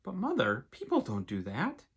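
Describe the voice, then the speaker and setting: An eager little boy bird from a storybook, played by a man reading aloud. He questions everything with curiosity. The pitch moves around a lot and the voice has a husky edge. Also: wildly swooping pitch, moderate pace, a bit bright, heavily acted. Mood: doubtful.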